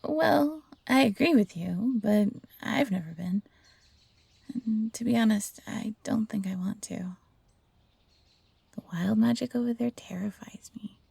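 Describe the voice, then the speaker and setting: Actress as timid loyal fox hybrid, audio roleplay, expressive, breathy, crackly edges, dry close sound. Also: female voice, a little high, unhurried, dry recording, full character voice. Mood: uneasy wonder. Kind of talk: scripted audio roleplay acting.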